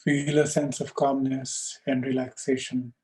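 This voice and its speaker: Calm male meditation teacher, even pitch. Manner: calm, measured, short phrases with pauses. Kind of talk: slow guided meditation instruction.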